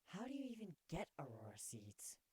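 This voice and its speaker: Man putting on a teasing, husky female pony. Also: on the high side, wildly swooping pitch, normal speed, mellow, voice pushed up, exaggerated voice. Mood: curious. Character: teasing, playful female pony with blunt wit.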